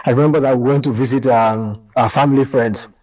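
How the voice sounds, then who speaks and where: A man with a Nigerian accent whose first language is Yoruba, telling an animated story and mimicking people. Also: mid-range voice, fairly quick, recorded from a distance, low-quality recording. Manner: lively, mimics people, mixes in pidgin. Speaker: content creator.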